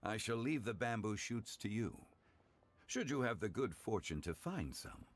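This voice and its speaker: A man playing a refined, courteous, wise gentleman in a video game, speaking formally and eloquently with composure. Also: lowish voice, very animated, moderate pace. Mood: slyly persuasive.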